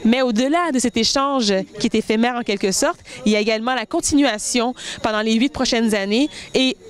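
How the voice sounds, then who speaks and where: Earnest woman choreographer. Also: on the high side. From Canada (Montreal, Quebec). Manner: earnest, measured, with frequent hesitations. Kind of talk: interview reflection.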